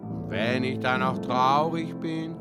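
Man acting a tipsy crooner, slow drinking song. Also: medium pitch, loud music behind the voice. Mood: merry, stubborn. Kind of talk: audio play musical, singing.

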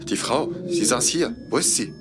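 A man acting a bewildered young man, animated pitch. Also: normal speed, loud music behind the voice, lightly acted. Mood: confused. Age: young adult. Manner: hesitant, stammering when confused. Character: bewildered, hurting young man recounting a nightmare job. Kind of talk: horror audio drama acting and narration.

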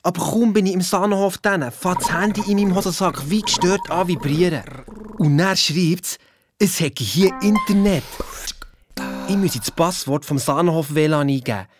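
Excitable, boastful boy sleuth played by a male actor, Swiss German accent, breezy and blunt, children's radio play with clear music bed. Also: high voice, normal speed, close to an everyday voice. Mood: eager storytelling. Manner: breezy colloquial storytelling, blunt and bickering. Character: excitable, boastful young boy detective telling his adventure. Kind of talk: children's audio drama narration.

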